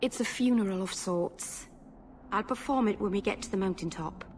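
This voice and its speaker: A woman's voice: mid-range voice, normal speed, darkish tone, slight background noise, natural voice. A woman voicing an earnest young female elf mage in a video game, hesitant and apologetic, tending to babble and interrupt herself. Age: young adult.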